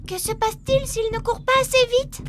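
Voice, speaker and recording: A woman's voice: extremely high pitch, steady tone, a little fast. A woman voicing an eager, curious and helpful little elf boy in a cartoon dub. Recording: loud music behind the voice.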